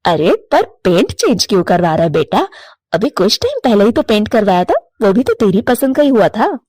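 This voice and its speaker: A woman's voice: medium pitch, sing-song, normal speed, darkish tone, no room sound, clean studio sound, voice pushed down. A woman voicing a worried, long-suffering middle-aged mother crow in a cartoon story, fretful and motherly. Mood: patient, firm. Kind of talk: animated cartoon moral story.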